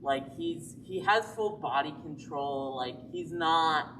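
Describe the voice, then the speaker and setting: A teenage girl with a somewhat low voice speaks thoughtfully, hedging with fillers. She is distant from the mic with clear background noise. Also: slow, fairly bright tone. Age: teens. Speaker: student actor. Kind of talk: casual panel talk.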